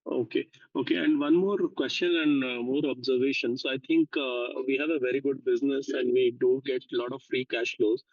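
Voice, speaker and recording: A man's voice: medium pitch, fairly even intonation, fairly quick, few pauses, a little mellow, no echo. A man calling in to a conference call, asking unscripted investor questions. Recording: dry, dull conference line.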